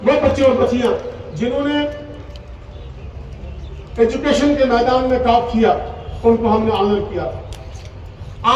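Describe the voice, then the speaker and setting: Male cleric, public religious oration, emphatic repetition, exhortatory, very high pitch, distant echoey sound. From Poonch, Jammu and Kashmir, India. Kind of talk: impassioned Urdu public oration at a religious gathering. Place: large gathering, speaking from a stage. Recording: echoey public address, distant, faint noise.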